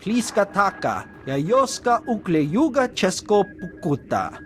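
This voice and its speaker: A man voicing an excitable alien underling in a video game, husky and speaking in an invented tongue. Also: sits high, unhurried, faint music behind, heavily acted. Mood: agitated. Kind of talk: video game creature voice acting.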